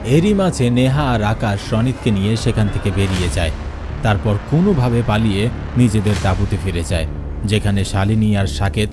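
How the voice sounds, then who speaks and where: Low-voiced man narrating a horror tale. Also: normal speed, music in the background. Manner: dramatic, suspenseful. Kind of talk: scripted horror story narration.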